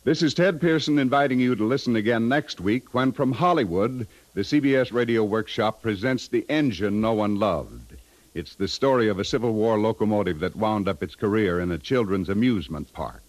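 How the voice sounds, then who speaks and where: A man's voice: lowish voice, moderate pace, dry recording. An American man reading scripted credits as a 1950s radio network announcer.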